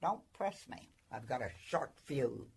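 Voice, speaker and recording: A woman's voice: very deep voice, lively intonation, unhurried, vocal fry, over loud music, exaggerated voice. A woman playing a feisty, sardonic lodger in a radio comedy, wry and blunt in manner. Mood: fierce, menacing. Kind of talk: sitcom-style radio comedy acting.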